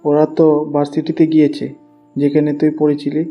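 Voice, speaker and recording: A man's voice: fairly high pitch, monotone, brisk, lo-fi sound, soft background music, close to an everyday voice. A man playing a hesitant, mild elder brother in an audio story. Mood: worried, hesitant. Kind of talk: Bengali audio story acting.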